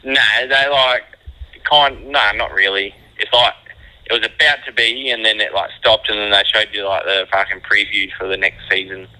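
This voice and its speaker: Deep-voiced Australian man, very sharp sound. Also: fairly even intonation, normal speed, rough recording. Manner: laconic, heavily sweary, matey. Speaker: phone-in guest calling from prison. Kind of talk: casual banter on a phone call. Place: phone call from a prison. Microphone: telephone.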